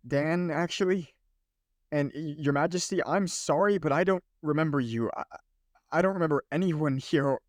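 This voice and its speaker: A man playing a skeptical, bewildered office worker in his twenties in an audio play, halting and exasperated. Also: fairly high pitch, no echo, clean studio sound, close to an everyday voice. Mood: awkward, sarcastic. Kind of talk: naturalistic audio play acting.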